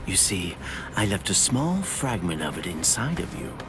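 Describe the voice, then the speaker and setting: A man playing a sly, soft-spoken young swordsman with cool menace in an anime game dub, talking in an unhurried, smooth way. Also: deep voice, big pitch swings. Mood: sly, gloating. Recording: loud music behind.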